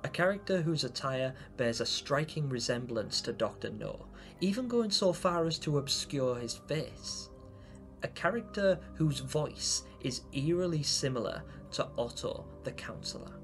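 A man's voice: medium pitch, expressive, unhurried, a bit bright. A young man hosting a video, giving theatrical, wordy commentary to viewers about game theories. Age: young adult. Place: small home room, indoors. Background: background music.

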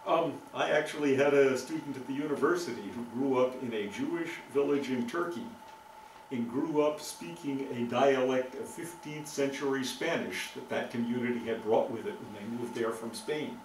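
An older man giving a relaxed, thoughtful lecture with frequent ums, recorded by a far camera in a meeting room. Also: medium pitch, normal speed, echoey room, a little hiss or hum. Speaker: guest lecturer. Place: meeting room with green walls.

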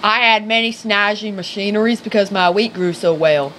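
A scrappy farm hick turned rail rider played by a woman in an amateur radio skit. The voice is bright and forward, folksy and full of old slang, over faint background noise. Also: mid-range voice, fairly even intonation, moderate pace, lightly acted, rough recording. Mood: brash. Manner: folksy, ungrammatical, slangy talk.

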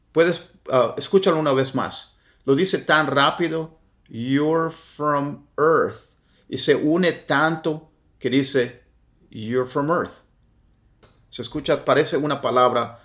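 A man, teaching English phrases with lively hand gestures in a dim indoor room. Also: mid-range voice. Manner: animated, gestures with his hands. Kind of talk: language lesson, repeating phrases slowly.

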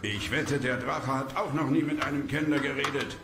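Grumpy fantasy adventurer, male actor: gravelly, mid-pitched, sarcastic, echoing radio-play sound. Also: moderate pace, background noise, natural voice. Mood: grumbling, scornful. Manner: grumbling, sarcastic. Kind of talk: German fantasy radio drama acting. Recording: roomy echo with music.

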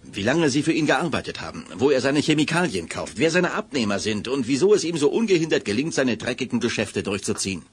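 An actor playing a stern, formal gentlemanly boss with an authoritative manner, in a 1980s German radio drama. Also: male voice, mid-range voice, sing-song, fast talker, few pauses, breathy edge. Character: stern, gentlemanly male boss, patient until indignant.

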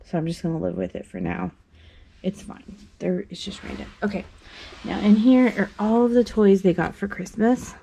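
A woman's voice: mid-range voice, lively intonation, moderate pace, vocal fry, dark tone, slight background noise. A young woman vlogging casually at home, rambling with many fillers and restarts, filmed on a phone held at arm's length in a small playroom. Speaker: mom vlogger. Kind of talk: casual chat. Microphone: handheld phone camera at arm's length.

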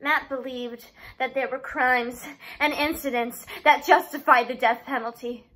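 A woman performing a dramatic monologue as a grieving father addressing his son's killer, halting and emotional, with repeated words and long pauses. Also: sits high, steady tone, sharp tone, poor audio. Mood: solemn, subdued.

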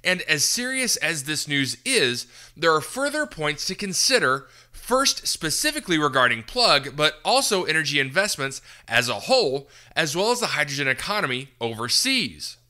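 Bright, forceful male podcast host. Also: on the high side, very animated, normal speed, hardly any pauses. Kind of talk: scripted news commentary narration.

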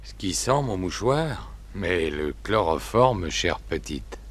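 A man's voice: sing-song, slow, exaggerated voice. A man playing a sly, sneering villain. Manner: low, hushed and sneering.

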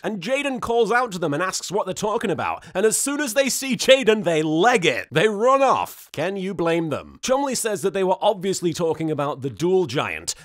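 Animated man, higher-pitched voice. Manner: playful, sarcastic, theatrical. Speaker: host of an episode recap and reaction series. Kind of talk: comedic recap commentary to camera.

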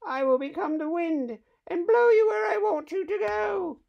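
Man putting on a very high-pitched doting mother bunny. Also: moderate pace, creaky, muffled recording, a little hiss or hum, exaggerated voice. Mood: loving, emphatic. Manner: exaggerated, swooping storybook delivery. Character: doting mother bunny, female, gentle and determined.

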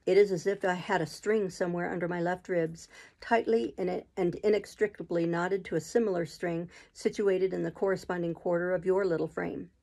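A woman voicing a brooding middle-aged gentleman, the master of the house, speaking low and earnestly in an audiobook of a classic novel. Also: steady tone, moderate pace, creaky.

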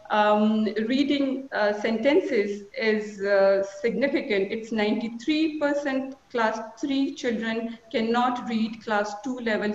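A woman in her forties with a Pakistani accent, giving a measured conference talk full of figures from a small office, with fillers between numbers. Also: on the high side. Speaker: conference panelist. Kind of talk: formal presentation with statistics. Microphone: video call webcam. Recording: echoey lo-fi video call.

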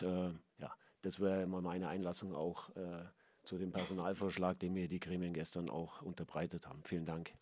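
A middle-aged man giving a halting statement as club managing director at a press conference, on a table mic. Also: deep voice, warm tone, no echo. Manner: halting, many filler sounds. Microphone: table microphone.

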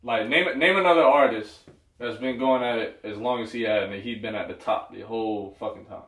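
A young man chatting casually in a recording studio, relaxed and slangy, saying bro a lot. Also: on the low side, brisk, distant mic. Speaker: singer-rapper in a studio session. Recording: roomy, from off-mic.

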